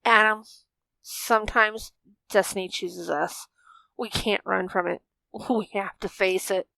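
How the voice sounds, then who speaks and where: A woman's voice: mid-range voice, steady tone, measured pace, gravelly, sharp tone, no echo, voice pushed up, low-quality recording. A woman voicing a resolute, dutiful young woman warrior in a fan fiction story, firm and determined. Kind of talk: dramatised fan fiction dialogue.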